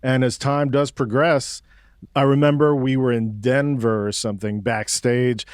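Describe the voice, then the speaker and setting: A man's voice: mid-range voice, flat delivery, unhurried, darkish tone, clean tone, dry recording, clean studio sound. A man in his forties from Mexico City, chatting casually and thinking aloud as an interview guest, with laid-back slang. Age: 40s. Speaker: guest musician. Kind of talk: casual reflective interview chat.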